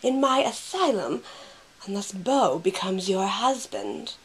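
A woman's voice: mid-range voice, sing-song, slow, breathy edge, exaggerated voice, poor audio. A woman playing a gushing, conniving villainess in an audio drama, with a theatrical drawl. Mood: gleefully scheming.

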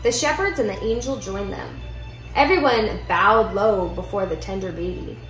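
A young woman reads a children's picture book aloud with expressive storytelling in a living room. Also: mid-range voice, normal speed. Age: young adult. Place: indoor living room at home. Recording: roomy echo, music behind.

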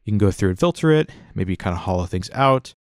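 A man narrating a casual step-by-step software tutorial in an informal, explanatory way. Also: low voice, expressive, fast talker, no echo, studio quality.

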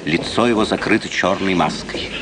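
A male narrator reads stage action for an old radio play. His voice is deep. The 1950s recording has clear noise. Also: normal speed.

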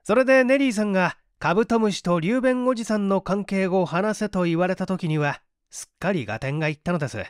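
High-pitched male story reader, dry sound. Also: fairly quick, clean tone, dry recording. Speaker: audiobook reader. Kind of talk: scripted literary narration of a mystery story.

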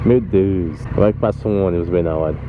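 A young man from Brazil, joking and rowdy as he rides a motorcycle through city traffic. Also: fairly quick. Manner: joking, rowdy, swings pitch a lot. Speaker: motorcycle vlogger. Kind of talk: casual riding commentary. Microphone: helmet-mounted camera mic. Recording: dull lo-fi helmet cam with loud traffic noise. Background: traffic and engine noise.

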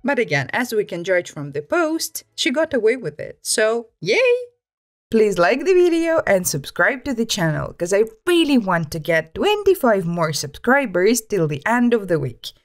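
A woman's voice: mid-range voice, big pitch swings, moderate pace. A woman reading online stories aloud as a host, in scripted storytime narration.

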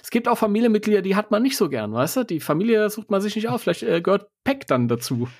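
A man's voice: high voice, sing-song, quick pace, no echo, studio quality. A man co-hosting a podcast, talking casually in a colloquial, wry way and giving opinions. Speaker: podcast host.

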